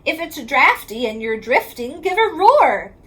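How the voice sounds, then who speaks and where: A woman with a very high voice reads a children's picture book aloud. She goes slowly and in a sing-song rhythm, stretching the ends of lines, and her pitch moves up and down in a lively way. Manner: sing-song rhyming delivery, drawn-out line endings. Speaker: reading specialist reading aloud to children.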